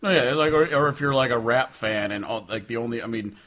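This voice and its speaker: A man's voice: medium pitch, quick pace, fairly bright tone. A man co-hosting a podcast, chatting casually about music in a blunt, informal, sweary way. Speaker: podcast co-host. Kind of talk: casual opinionated music chat.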